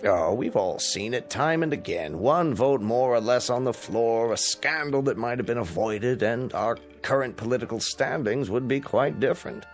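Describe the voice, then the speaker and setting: An actor playing a confident, argumentative gentleman in a radio drama, speaking with persuasive assurance. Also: medium pitch, animated, moderate pace, few pauses, soft background music, close to an everyday voice. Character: confident, argumentative gentleman club member, fond of speculation.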